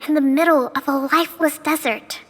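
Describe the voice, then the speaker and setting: A woman voices a self-assured young general in a game, her voice very high yet firm and earnest as she urges others on. Soft game music plays under her lines. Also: steady tone, brisk, in a large hall, slight background noise, natural voice. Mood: urging. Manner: earnest, persuasive speeches. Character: confident young woman general, rousing and bold. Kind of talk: video game cutscene dialogue.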